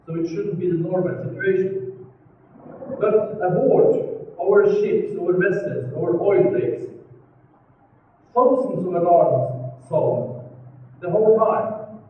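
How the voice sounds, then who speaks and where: Slow Norwegian man in an echoey hall. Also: sits high. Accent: Northern Norwegian. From North Norway. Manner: slow, deliberate, dry joking. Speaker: keynote speaker. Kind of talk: conference keynote. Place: large conference hall. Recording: echoey hall, far mic, tinny, faint hiss.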